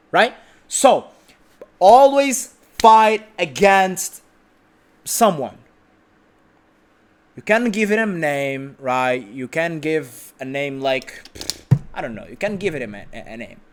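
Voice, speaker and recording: A man's voice: a little high, animated, slow pace, long pauses. A man who is a non-native English speaker, delivering an informal, unscripted motivational monologue as a host, emphatic and repetitive. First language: not English.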